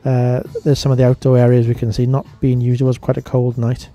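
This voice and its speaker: A man talks through a casual vlog review. His voice is mellow and relaxed, sitting at an ordinary middle pitch. He narrates informally, as a reviewer sharing his own opinions. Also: brisk, highs cut off. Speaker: vlogger, restaurant reviewer.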